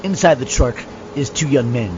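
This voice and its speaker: A man narrating a horror audio drama as a theatrical, deliberate scene-setter. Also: mid-range voice, lively intonation, heavy background noise, lightly acted. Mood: suspenseful. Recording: dull, band-limited podcast audio.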